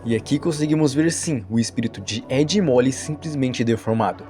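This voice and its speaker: A man's voice: medium pitch, a little fast, mellow, faint music behind. A man with a Brazilian accent narrating an explanatory storytelling video essay as a voice-over.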